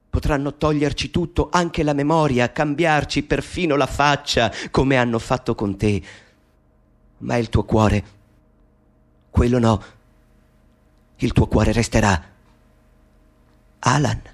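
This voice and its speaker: A man voicing a gentle presence who speaks slowly and softly to comfort a grieving man. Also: medium pitch, fairly even intonation, pitched below the performer's own voice. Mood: tender.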